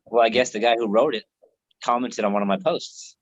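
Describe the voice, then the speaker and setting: A man's voice: medium pitch, steady tone, a little fast. Laid-back American man, lo-fi call. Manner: laid-back, swears freely, laughs often. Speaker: host. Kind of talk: casual chat on a live video call. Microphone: video call.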